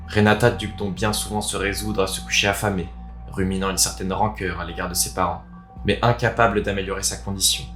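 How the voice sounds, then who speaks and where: Low-voiced Frenchman in an echoey room. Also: fairly bright tone. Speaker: video host. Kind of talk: casual video introduction, then expressive reading of a written story. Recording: roomy echo, music underneath. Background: background music.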